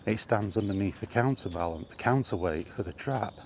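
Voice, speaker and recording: Male vlog host, deep-pitched, conversational and casual, talking straight through with asides, faint ambient noise in the background. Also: animated, a little fast, creaky, darkish tone. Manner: conversational, rhetorical questions and asides. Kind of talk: casual film chat monologue.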